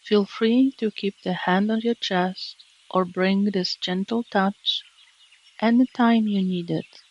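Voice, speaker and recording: A woman meditation teacher narrating a guided relaxation in a gentle, calming, measured way with long pauses. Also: medium pitch, lo-fi sound. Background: birdsong and nature sounds.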